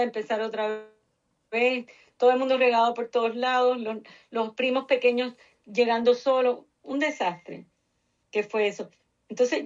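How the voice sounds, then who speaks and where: Older Cuban-born woman with a Puerto Rican lilt, earnest interview guest, muffled lo-fi audio. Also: on the high side. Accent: Puerto Rican Spanish. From Cuba. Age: 60s. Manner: passionate, earnest, emphatic.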